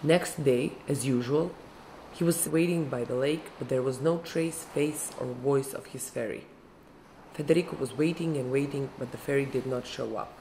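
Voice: medium pitch, moderate pace, darkish tone, slight background noise, rough recording. A narrator reading a scripted fairytale story. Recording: music behind the voice.

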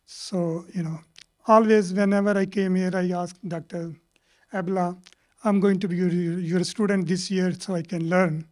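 Mellow-voiced Pakistani man, halting speech. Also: high voice, steady tone. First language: Punjabi. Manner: rambling, halting, many fillers. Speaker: panelist. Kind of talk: casual panel remarks. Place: lecture hall panel. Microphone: handheld mic.

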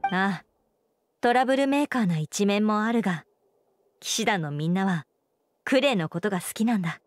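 A woman's voice: mid-range voice, lively intonation, normal speed, lightly acted. A woman voicing a responsible, firm but caring female knight commander with formal, composed speech in an anime video game. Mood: fond, composed. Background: background music.

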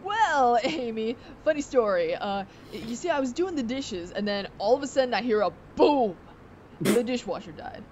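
Cool cocky cartoon pony dj girl, done by a woman, slangy prank caller, highish voice, muffled audio. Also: lively intonation, moderate pace, darkish tone, close to an everyday voice. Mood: breezy, casual. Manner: laid-back slangy, cocky. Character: cool, brash young female pony DJ. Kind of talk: comedic prank phone call. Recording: dull, noisy prank call recording.

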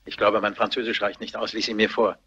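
An earnest, devoted secret lover in a radio drama. He speaks quickly in a forceful, husky voice, on a dull recording. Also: fairly low pitch, level tone. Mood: concerned. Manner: earnest, pressing. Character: urgent, devoted secret lover named Paul. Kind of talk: German radio mystery play acting.

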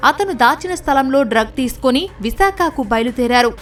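A woman's voice: high-pitched, expressive, fairly quick, fairly bright tone, distant mic, soft background music. A woman reads scripted TV news narration as a reporter.

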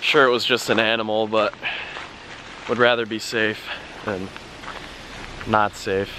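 A man's voice: fairly low pitch, flat delivery, a little slow, bright, forceful tone, slight background noise, poor audio. A young man vlogging casually to a handheld camera at night from inside a car. He talks nervously, with lots of fillers. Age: young adult. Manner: chatty, a bit nervous, many fillers. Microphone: handheld camera at arm's length.

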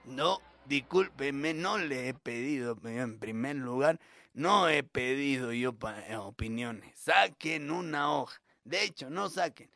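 A man's voice: medium pitch, animated, slow, creaky, fairly bright tone, no echo, pitched below the performer's own voice, heavily acted. A man playing an indifferent, pedantic male schoolteacher who lectures pompously in a comic monologue. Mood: stern, indifferent.

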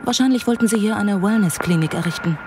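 An actress playing a composed, teasing police investigator in a German audio drama, speaking in an unhurried, matter-of-fact way. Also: female voice, medium pitch, noisy background, lightly acted. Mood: firm. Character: composed, teasing female Scotland Yard investigator.

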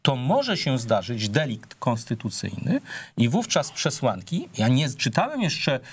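A middle-aged man, a politician guest, arguing his case in a TV studio debate, measured and full of hesitations. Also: mid-range voice, sing-song, breathy edge. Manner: measured, argumentative, with frequent hesitations.

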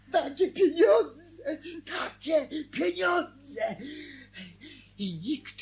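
An actor in a radio drama playing a weary, bitter middle-aged husband, husky and volatile. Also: male voice, very high-pitched, big pitch swings, drawn-out words, darkish tone, full character voice. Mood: awestruck, overwrought. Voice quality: husky, breathy. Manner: emotional, volatile, dragging. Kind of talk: Polish radio drama acting.

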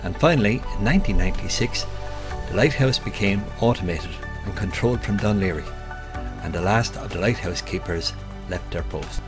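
A man's voice: fairly low pitch, normal speed, over music. A man giving an informal, explanatory guide to locations as the host of a photography vlog.

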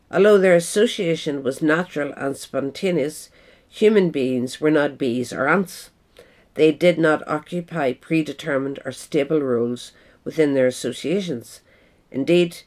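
A man reading a scripted essay in a measured, formal manner. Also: a little high. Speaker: reader.

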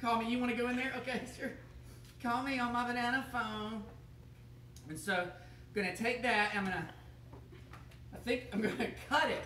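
A man plays a goofy, bumbling male TV chef who rambles and chuckles as he fumbles through a kitchen demo. The voice is bright and sharp, picked up from across an echoey kitchen. A faint room hum sits behind it. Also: extremely high pitch, steady tone, moderate pace, pauses often, voice pushed up. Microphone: camera across the room. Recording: echoey room, mic from far off.

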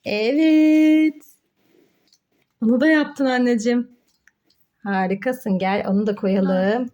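A woman's voice: fairly high pitch, slow, dark tone. A woman talking as a mother hosting a children's play video, encouraging and full of questions and exclamations. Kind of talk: playful educational chat with child and viewers.

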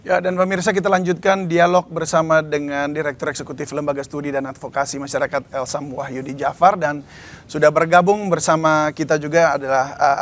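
Polite male news anchor, slightly high. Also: slight background noise. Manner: polite, fluent, with frequent "eh" fillers. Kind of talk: live TV dialogue moderation. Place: TV studio.

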